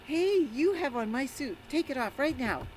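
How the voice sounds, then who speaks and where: A woman's voice: sits high, lively intonation, normal speed, a little hiss or hum, pitched above the performer's own voice. Woman doing a stern, blustering male principal. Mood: indignant. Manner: commanding, blustering. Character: stern, flustered male school principal in a storybook.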